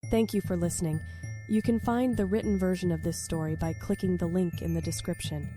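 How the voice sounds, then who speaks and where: A woman's voice: on the low side, steady tone, brisk, mellow, soft background music. A woman reading a scripted story aloud as its narrator.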